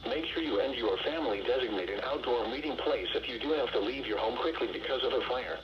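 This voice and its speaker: A man reading a scripted public safety announcement as a weather radio announcer, in a measured, impersonal synthesized delivery. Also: medium pitch, muffled recording, a loud crowd. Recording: noisy weather radio broadcast, heavy static.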